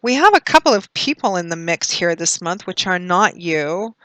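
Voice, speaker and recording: A woman giving a monthly tarot reading, recovering from a cold and slightly raspy, explaining cards in a meandering way with frequent fillers. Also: on the low side, sing-song, normal speed, a bit bright, no echo. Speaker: tarot reader.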